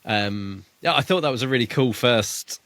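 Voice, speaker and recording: A man's voice: medium pitch, animated, normal speed, fairly bright tone, dry recording. A man co-hosting a podcast, talking through a book in casual chat, hesitant and musing, now and then acting out lines with a laugh. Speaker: podcast co-host.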